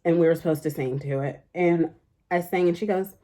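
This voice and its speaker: A young adult woman chatting casually through a makeup tutorial in a fairly deep voice, rambling with lots of fillers. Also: fairly quick, mellow. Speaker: beauty vlogger.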